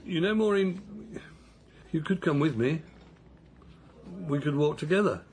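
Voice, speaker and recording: An actor playing an older husband on a pilgrimage walk, speaking in a gentle, musing, wondering way. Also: male voice, on the high side, lively intonation, a little slow, a little hiss or hum. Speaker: man on a long walk, husband. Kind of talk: radio drama acting.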